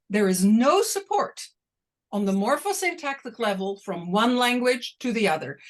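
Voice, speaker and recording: A woman whose first language is Dutch, speaking deliberately and a little slowly as an invited academic answering questions on a video call. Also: a little high. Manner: deliberate, slightly slowed and exaggerated. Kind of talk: online academic talk, Q&A.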